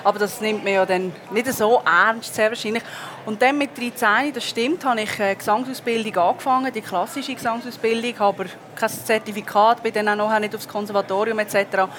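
A woman's voice: fairly high pitch, fairly even intonation, brisk, a bit bright, slight background noise. A woman in her thirties with a Swiss German accent, a singer answering interview questions in a chatty way with many fillers. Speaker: guest singer.

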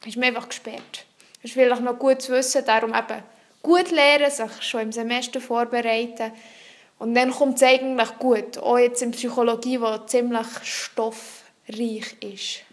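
Young female student, high voice, French; earnest and explanatory, searching for words in an interview. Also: steady tone, normal speed. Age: young adult. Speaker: psychology student. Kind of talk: answering interview questions.

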